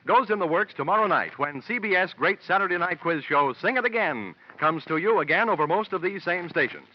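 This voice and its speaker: An American man announcing in an emphatic 1950s radio promotional style. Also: on the high side. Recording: muffled old radio broadcast.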